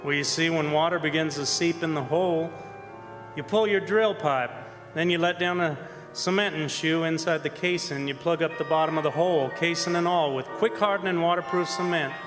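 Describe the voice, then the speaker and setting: An actor playing a folksy, easygoing oil rig roughneck who tells his story in a colloquial way, in stage radio drama style. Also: a little high, normal speed, lightly acted. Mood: folksy storytelling. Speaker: actor and narrator in a radio play. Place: performing arts center stage. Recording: reverberant hall, music behind.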